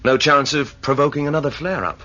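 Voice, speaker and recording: A man's voice: on the high side, fairly quick, pushed and bright. An actor playing a practical male spaceship crewman in a sci-fi radio drama, dry and matter-of-fact. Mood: dry, reasoning. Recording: muffled old radio recording.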